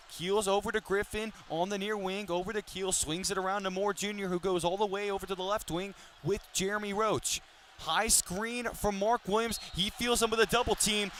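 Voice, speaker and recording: A man's voice: high-pitched, fairly bright tone. A man in his twenties calling live basketball on the radio in a detailed, polished broadcaster's delivery. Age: 20s. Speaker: sports play-by-play announcer. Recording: live sports broadcast with arena noise. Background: basketball arena ambience.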